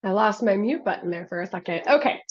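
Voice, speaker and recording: A woman with a cold, hoarse, moderating a webinar and leading the questions, with plenty of ums. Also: mid-range voice, animated, quick pace, dull audio. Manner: frequent ums, conversational and apologetic. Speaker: webinar moderator for a state health program. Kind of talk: hosting a webinar Q&A.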